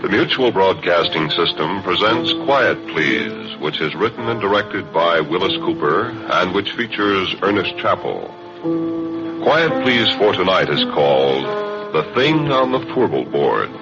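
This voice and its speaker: Slow stately radio announcer, man. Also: low-pitched, big pitch swings, lo-fi sound. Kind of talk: formal broadcast announcement. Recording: old radio broadcast with music underneath. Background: music.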